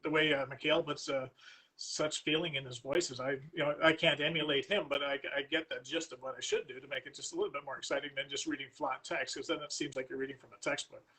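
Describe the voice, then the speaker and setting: A man, a guest author on a livestream, chatting casually in a halting, self-effacing way. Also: fairly high pitch, steady tone, sharp tone, slightly rough, low-quality recording. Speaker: fantasy author, guest reader. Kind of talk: casual chat.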